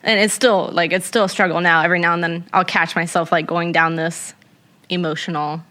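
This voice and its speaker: Young woman rambling thoughtfully in a casual chat, lots of "like", bright and slightly low voice. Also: fairly even intonation, brisk. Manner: rambling and reflective, many fillers. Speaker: guest. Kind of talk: casual conversation.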